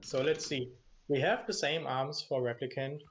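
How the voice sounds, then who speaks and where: A man with a German accent calls a match as a live commentator, reacting to the action. His voice sits at a middling pitch, with game music playing clearly behind him. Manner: quick, engaged play-by-play, reacting to the action. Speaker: esports commentator.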